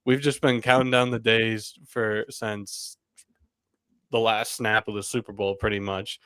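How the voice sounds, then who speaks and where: A man's voice: on the low side, level tone, unhurried, a bit bright, smooth, no room sound. A young man hosting a casual sports podcast, a little under the weather and rambling with lots of "like" and laughs. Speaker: podcast host.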